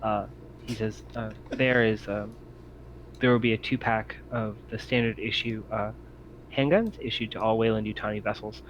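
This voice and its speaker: A man's voice: on the low side, fairly even intonation, moderate pace, highs cut off. A man acting as game master, improvising narration and explaining rules in casual talk. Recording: faint background noise.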